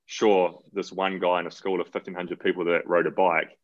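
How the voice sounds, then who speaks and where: A very low-voiced man with a New Zealand accent talks easily and at length as an interview guest. He is relaxed and loose, often doubling his words. The audio is dull and lo-fi. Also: normal speed. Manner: relaxed, rambling, repeats words. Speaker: guest, cyclist. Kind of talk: casual interview talk.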